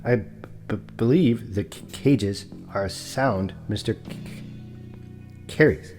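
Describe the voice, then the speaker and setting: An actor playing a meek, courteous, big property broker who stammers on his consonants and speaks in a formal, halting way in an audio drama. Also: fairly low pitch, darkish tone, soft background music. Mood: obliging. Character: large muscular male property broker, meek, anxious, courteous.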